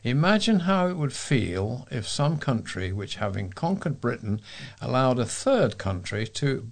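A man's voice: medium pitch, very animated, a little slow. Man reading letters aloud. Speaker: volunteer reader on a local talking newspaper.

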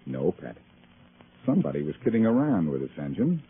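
An actor playing a self-assured, wisecracking amateur detective in 1940s radio drama, with wry, unruffled banter. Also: male voice, deep voice, very animated, fairly quick, husky, exaggerated voice. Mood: wry, probing. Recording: noisy narrow-band old-time radio recording.

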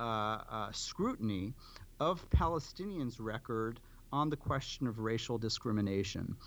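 A man giving formal policy commentary as an interview guest, speaking deliberately in short, halting clauses. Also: medium pitch. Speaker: advocacy organization director, interview guest.

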